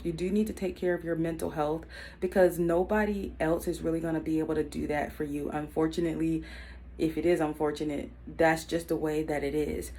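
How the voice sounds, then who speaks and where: A woman in her thirties talking unscripted to camera, earnest and reflective, with many pauses and filler words as she gives life advice. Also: sits low, darkish tone. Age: 30s. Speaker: YouTube self-help vlogger. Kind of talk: unscripted personal talk to camera.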